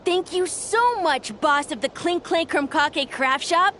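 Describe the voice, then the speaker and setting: Eager, very high-voiced child. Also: female voice, a little slow, bright, forceful tone, slight background noise. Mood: grateful. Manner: earnest, exclamatory. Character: eager young child toy inventor, polite, excitable. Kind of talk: anime-style game voice acting.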